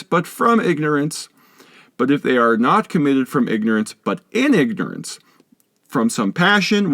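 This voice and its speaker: A man's voice: medium pitch, no echo. Male narrator for scholarly audiobook: formal, instructive read, widely varied melody, dry studio-like sound. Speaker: audiobook reader. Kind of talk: scripted narration, reading a philosophy text aloud.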